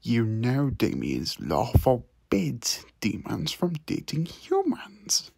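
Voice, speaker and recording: A man playing a slow, stern demon father. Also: mid-range voice, huge pitch swings, creaky, darkish tone, heavily acted. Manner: slow, deliberate, authoritative. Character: stern older demon boss, a gruffly doting father. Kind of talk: ASMR audio roleplay acting.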